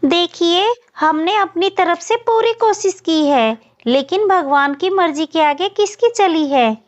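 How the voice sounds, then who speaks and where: Woman as a slow, solemn doctor bird. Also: extremely high pitch, expressive, dull audio, voice pushed up. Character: grave, apologetic doctor bird. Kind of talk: children's cartoon story acting.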